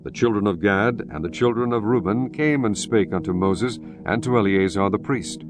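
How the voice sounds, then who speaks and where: A man narrating scripture from a script in a solemn, measured and formal way. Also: fairly low pitch. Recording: music behind the voice.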